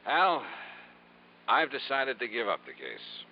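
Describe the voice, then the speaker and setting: A man's voice: mid-range voice, wildly swooping pitch, slow, exaggerated voice. A dull, faintly noisy vintage radio recording of a hardboiled private investigator in a detective drama. His mid-range voice moves a lot, with a wry, world-weary, punchy delivery. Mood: resigned, dry. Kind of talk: 1950s detective radio acting.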